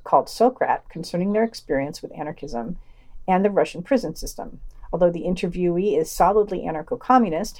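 Mellow woman hosting a podcast. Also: on the low side. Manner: wry, opinionated. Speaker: podcast host reading news reviews. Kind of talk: scripted podcast narration with casual asides.

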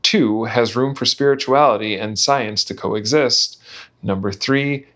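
A man reading a written text aloud in a measured, enunciated way for a podcast. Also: mid-range voice, fairly bright tone. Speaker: reader of a manifesto. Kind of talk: scripted reading of a numbered manifesto. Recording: dull, lo-fi podcast audio.

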